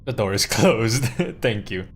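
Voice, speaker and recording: A man's voice: fairly low pitch, a little slow, slightly rough. A young man casually commenting while playing a game on stream, chatty and laughing often.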